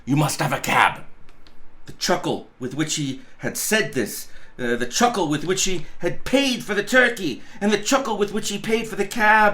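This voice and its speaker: A man reading a classic story aloud as an expressive narrator. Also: high-pitched.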